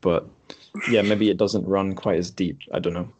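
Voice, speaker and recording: A man's voice: low-pitched, steady tone, normal speed, noisy background. A British man hosting a podcast, chatting casually in a tentative, musing way. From Britain. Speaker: podcast host. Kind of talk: casual group chat.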